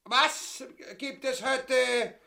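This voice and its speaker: Gluttonous, blustering Austrian oaf, drawling. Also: male voice, very high voice, steady tone, drawn-out words, creaky, bright, forceful tone, exaggerated voice. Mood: gleeful, greedy. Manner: coarse, blustering, swearing. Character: gluttonous, crude, cowardly grotesque usurper husband. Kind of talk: grotesque stage comedy acting.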